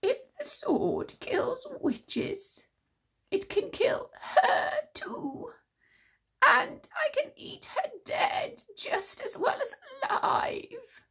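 A woman's voice: very high-pitched, very animated, very slow, fairly bright tone, pitched above the performer's own voice, full character voice. A woman voicing a cruel, gloating male monster king with a crooning, menacing delivery. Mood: hungry.

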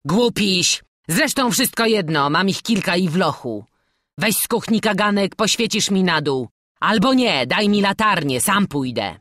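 A woman's voice: medium pitch, expressive, measured pace, fairly bright tone, no room sound, studio quality, voice pushed up. A woman voicing an aging male bandit in an audiobook, bullying and menacing, with barked threats and mocking coaxing. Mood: bossy. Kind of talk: audiobook storytelling.

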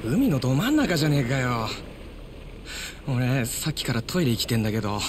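A jittery, whining male sidekick acted by a man in a game. His mid-pitched voice jumps around, with clear ambient noise behind. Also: very animated, brisk, lightly acted. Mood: irritated. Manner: casual, whiny, flustered. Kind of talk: game cutscene acting.

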